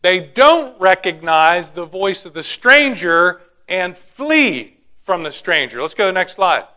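A high-pitched man preaches with a bright, forceful tone. He tells stories and asks the congregation questions as he teaches. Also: very animated, measured pace. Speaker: pastor. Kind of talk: sermon.

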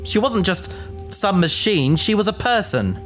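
An actress playing an earnest, anxious and emotional sci-fi crew member, speaking emphatically in an audio drama. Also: female voice, medium pitch, big pitch swings, unhurried, soft background music. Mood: grieving, angry.

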